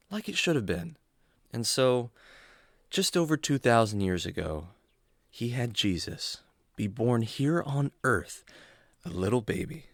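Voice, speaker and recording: A kindly adventurer played by a man in a kids' radio drama, teaching with patience and earnest care. His mid-pitched voice rises and falls expressively, recorded dry and close. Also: dry recording. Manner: gentle, earnest, explanatory. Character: kindly adventurer man, patient storytelling mentor to animal buddy. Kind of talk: children's radio drama acting.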